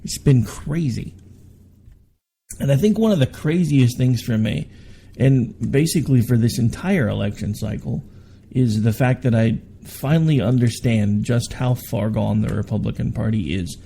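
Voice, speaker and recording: A man's voice: medium pitch, normal speed, creaky, dark tone, slight background noise. A man in his forties hosting a political talk radio show, tired from overwork, talking in a rambling, candid way with asides and self-corrections. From Oklahoma, United States. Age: 40s.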